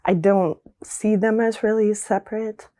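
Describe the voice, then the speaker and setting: A woman's voice: mid-range voice, fairly even intonation, measured pace, dark tone, dry recording. A woman who dances, speaking earnestly and reflectively in an interview, often with ums and likes. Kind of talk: documentary interview.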